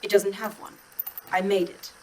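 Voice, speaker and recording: A woman playing a literal-minded, obliging artificial intelligence in an audio drama, formal and measured. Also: medium pitch, little pitch movement, darkish tone, a little hiss or hum, close to an everyday voice, poor audio. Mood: composed, precise. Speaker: voice actor in a fiction podcast.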